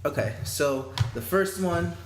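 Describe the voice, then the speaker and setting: A man hosting a podcast, chatting casually and telling stories in an animated way. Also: a little high, slow. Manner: chatty storyteller, animated when quoting others. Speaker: podcast host. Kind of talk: casual interview chat. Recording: roomy echo with faint noise.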